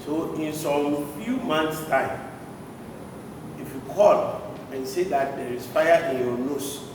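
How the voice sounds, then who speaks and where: A loud, jovial fire service officer speaks at an unhurried pace. He is picked up from a distance, and the hall's heavy reverb smears his words. A clear rumble sits underneath the whole time. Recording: distant, echoey hall with audible rumble.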